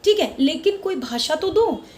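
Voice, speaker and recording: A middle-aged Indian woman with a high voice talks straight to her viewers in a casual vlog. She speaks with fervor, drives her points home by repeating words, and drops English terms into her Hindi. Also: animated, moderate pace, darkish tone. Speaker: channel host. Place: lives in Mumbai.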